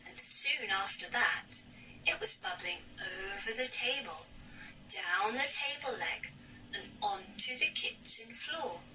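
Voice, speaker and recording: A woman storyteller narrates a children's fairy tale in a very bright, lively voice. Her phrasing is slow and theatrical. She sounds slightly distant from the mic, with music behind her. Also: a little high. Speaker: puppet show storyteller.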